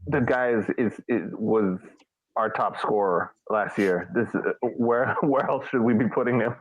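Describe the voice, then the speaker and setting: A man co-hosting a soccer fan podcast, chatting casually in a halting way over a tinny, phone-like connection. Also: fairly low pitch, no echo. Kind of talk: casual sports chat. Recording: tinny phone-like call audio.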